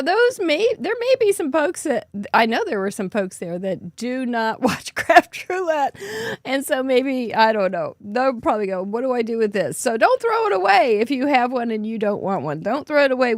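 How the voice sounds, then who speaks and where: A middle-aged woman host with a hoarse voice, chatting in an upbeat way and laughing often as she shows off gifts. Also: medium pitch, dry recording, clean studio sound. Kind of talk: casual show-and-tell recap.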